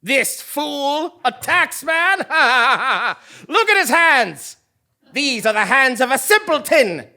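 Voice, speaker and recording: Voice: sits high, sharp tone, full character voice. A performer playing a proud, snobbish, indignant royal tax collector in a live comic stage audio play, with a blustering, theatrical manner.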